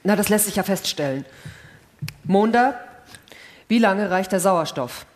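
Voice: mid-range voice, expressive, unhurried. An actor playing a resigned, rule-abiding astronaut in a science fiction radio play, terse and matter-of-fact. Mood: resigned, uneasy.

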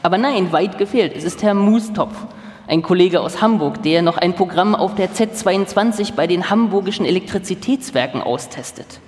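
A man playing a chief programmer who recounts anecdotes in a dry, measured way, in a live documentary radio feature. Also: sits high, lively intonation. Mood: wry, narrative. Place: live stage in a large hall. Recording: echoey hall with long reverb.

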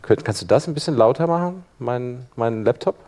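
A man's voice: medium pitch, expressive, a little fast, mellow. Casual man presenting a live workshop. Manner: casual, thinking aloud while demonstrating. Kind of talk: informal live software tutorial. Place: live talk in a conference room.

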